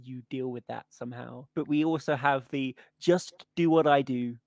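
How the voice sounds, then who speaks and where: A man co-hosting a podcast, casually discussing a film with sweary, animated retelling. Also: medium pitch, moderate pace, gravelly, no room sound, clean studio sound. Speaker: podcast co-host.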